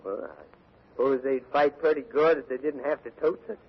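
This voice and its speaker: An actor playing a boastful, taunting Confederate prisoner of war with a Southern accent, in 1940s radio drama style. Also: male voice, medium pitch, normal speed, breathy edge, lightly acted. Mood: mocking. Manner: boastful, taunting, drawling folksy talk. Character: brawny, cocky Confederate soldier prisoner from Mississippi. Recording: muffled old 1940s radio recording.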